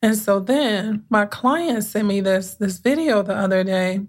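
A woman hosting her own podcast as a mindset coach, talking in an unhurried, conversational way with a soothing voice and thoughtful pauses. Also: medium pitch. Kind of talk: casual reflective podcast monologue.

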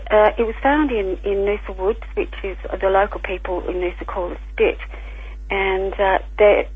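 A woman with a croaky voice, a scientist and author explaining things carefully in a radio interview. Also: mid-range voice, fairly even intonation, normal speed, dry recording. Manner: careful, explanatory, with small restarts. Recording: tinny phone line.

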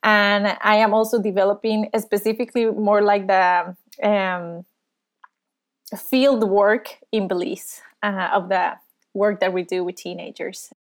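A woman's voice: medium pitch, fairly even intonation. Woman from Costa Rica, podcast guest on a video call, earnest and thoughtful. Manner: earnest, thoughtful, many pauses. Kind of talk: conversational interview.

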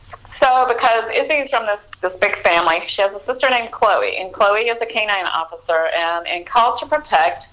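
A woman's voice: mid-range voice, normal speed, a bit bright, low-quality recording. A woman author talking casually about her books in an interview, rambling with fillers and frequent laughs. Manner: rambling, lots of ums and restarts, laughs often. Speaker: author guest.

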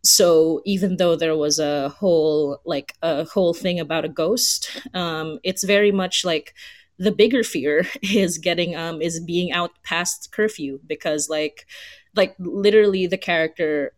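A woman's voice: on the low side, a little slow, dry recording. A woman from the Philippines speaking casually as a writer on a panel, informal and thinking aloud. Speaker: panel guest, audio drama writer.

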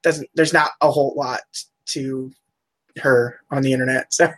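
A woman co-hosting a casual chat about old cartoons, chatty and quick to laugh, looking things up as she talks. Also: rumbling low, steady tone. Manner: chatty, laughs often, looks things up aloud. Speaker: podcast co-host.

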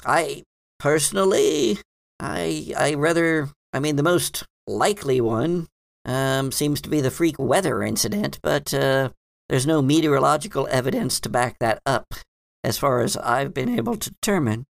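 A man's voice: mid-range voice, expressive, moderate pace, gravelly, no echo, clean studio sound. A man playing a hesitant, bookish librarian in a tabletop game, rambling and hedging in an earnest, mildly dismissive way. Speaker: game master. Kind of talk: improvised in-character tabletop roleplay.

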